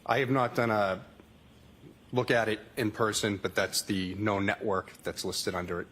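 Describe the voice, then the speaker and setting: A man's voice: lowish voice, little pitch movement, moderate pace, fairly bright tone. A man testifying as a state trooper in court, reading out records in a matter-of-fact, formulaic way. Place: courtroom witness stand.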